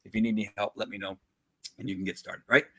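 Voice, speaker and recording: A middle-aged man hosting a live video show, walking through a screen-share tutorial in a chatty, rambling way with lots of fillers, recorded on a boom mic in a small home studio. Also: level tone, racing, sharp tone, a little hiss or hum. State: recovering from losing his voice. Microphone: studio mic on boom arm.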